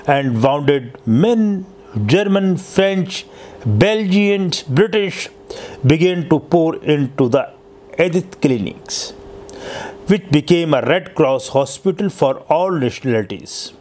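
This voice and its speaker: Slow, higher-pitched man narrating history. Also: a little mellow. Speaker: host telling inspirational true stories. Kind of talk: scripted narration of a historical story.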